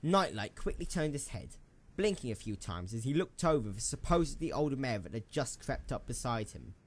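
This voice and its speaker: Male narrator, mid-pitched, very expressive melody, dramatic scripted storytelling. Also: normal speed, a little hiss or hum.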